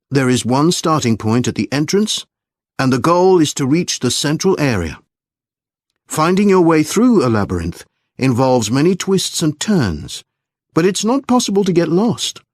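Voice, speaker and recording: Lively-pitched man giving a scripted lecture. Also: dry recording, clean studio sound. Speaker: lecturer in a listening test.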